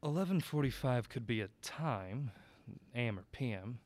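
A man playing a mild, curious young sidekick in an audio drama, gently wry and a little hesitant. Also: medium pitch, animated, normal speed, no room sound, natural voice.

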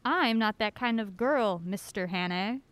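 A woman's voice: mid-range voice, measured pace, a bit bright, no room sound, lightly acted. An actress playing a wry, guarded woman on an anxious road trip, dry and sardonic, in an audio drama. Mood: guarded, nervy.

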